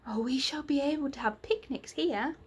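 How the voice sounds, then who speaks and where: A woman voicing an excitable, wondering young country girl in a storybook, exclaiming eagerly. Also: high-pitched, normal speed, pitched above the performer's own voice, natural voice. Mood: delighted.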